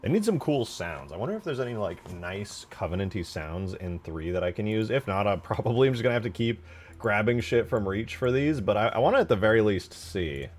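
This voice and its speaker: Low male voice, lively swings in pitch, casual streamer chat, slangy, thinking aloud. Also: a little fast. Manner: informal, slangy, swears casually. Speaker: game modder streaming their work. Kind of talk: casual livestream chat while working.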